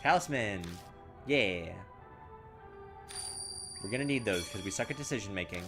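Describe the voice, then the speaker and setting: Chatty man with a lively, swinging pitch. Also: moderate pace, faint music behind. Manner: chatty, excitable, muses aloud. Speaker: let's play host. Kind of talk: casual game commentary, reading text aloud.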